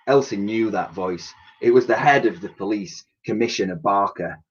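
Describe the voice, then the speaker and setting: A man reading a children's storybook aloud in an expressive, dramatic way, with the odd stumble. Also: lowish voice, moderate pace, slightly rough. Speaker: primary school teacher reading aloud. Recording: dull lo-fi home recording.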